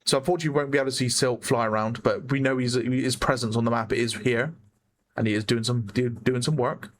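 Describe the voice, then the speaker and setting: A man with a hoarse voice giving chatty play-by-play commentary on a competitive online shooter match, often correcting himself. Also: mid-range voice, steady tone, fast talker. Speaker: esports caster. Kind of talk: live game commentary.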